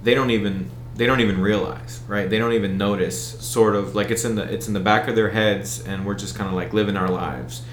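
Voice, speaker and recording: A man's voice: on the low side, fairly even intonation, a little fast, hardly any pauses, roomy sound, slight background noise. A man with an American accent, talking casually as an interview guest and circling back through his sentences. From United States. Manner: rambling, many restarts and fillers. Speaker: event organizer, guest.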